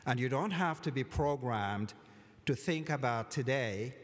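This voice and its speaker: A man giving a formal commencement address from a lectern, measured and deliberate with touches of dry humor. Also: mid-range voice, expressive. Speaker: college commencement speaker. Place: large hall at a lectern, indoors. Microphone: lectern microphone. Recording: long hall reverb.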